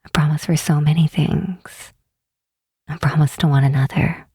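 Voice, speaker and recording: Woman acting as a gentle demon queen, real breathy asmr whisper, low n mellow, slow and tender. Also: steady tone, no room sound, studio quality, heavily acted. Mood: wistful, solemn. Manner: unhurried, hushed, tenderly reassuring. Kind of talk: soft ASMR roleplay, intimate and slow.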